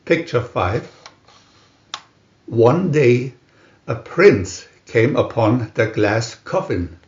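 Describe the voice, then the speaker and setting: Guy narrating a fairy tale really slowly, lively pitch, kinda roomy dull recording. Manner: measured, deliberate, pausing between captions. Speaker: storybook reader. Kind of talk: scripted storybook narration, reading picture-reel captions.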